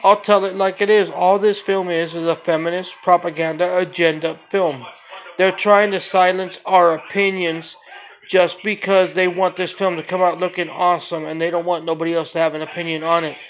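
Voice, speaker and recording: A man with a noticeably high-pitched voice delivers an off-the-cuff amateur review. He is blunt and opinionated, complaining in an exasperated way with plenty of profanity and repetition. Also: level tone, normal speed, rough recording. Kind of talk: casual opinionated rant review.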